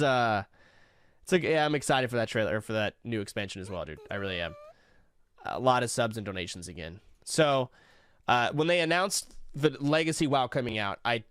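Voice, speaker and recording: A man's voice: medium pitch, a bit bright, smooth, no echo, clean studio sound. A man livestreaming casual reaction commentary, rambling along in slangy, sweary language.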